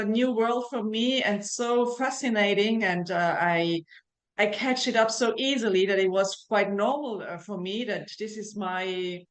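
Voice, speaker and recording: A middle-aged woman with a German accent talks as a guest in a relaxed interview. She speaks with frequent hesitations and restarts at a mid pitch. She is a naturopath looking back on her path into the work. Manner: hesitant, many fillers and restarts. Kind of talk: casual interview conversation.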